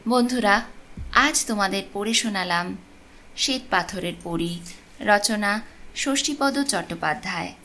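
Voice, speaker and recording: A woman narrating a supernatural tale as a storyteller, with expressive, deliberate phrasing. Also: mid-range voice. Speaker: storyteller, channel host. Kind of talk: dramatic audiobook narration of a ghost story. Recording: clear background noise and ambience.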